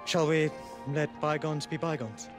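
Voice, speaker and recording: A man's voice: fairly high pitch, steady tone, a little slow, music in the background, close to an everyday voice. A male actor playing a genteel, dignified exiled prince, formal and courteous, in a video game cutscene. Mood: measured.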